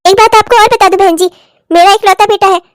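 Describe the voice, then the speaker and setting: Casting: woman as no-nonsense relative in a family drama, high voice, righteous and cutting. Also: fairly even intonation, fast talker, dry recording, studio quality. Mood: firm, explaining. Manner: emphatic, sarcastic, lecturing. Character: stern, righteous woman in-law exposing the family's hypocrisy. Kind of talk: melodramatic family story acting.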